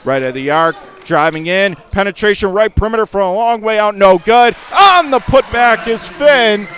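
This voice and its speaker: Higher-voiced American male basketball play-by-play announcer. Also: clean tone. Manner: rattles off clipped phrases, rising to excited calls on plays. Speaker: play-by-play commentator. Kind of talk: live basketball radio play-by-play. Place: indoor high school gymnasium.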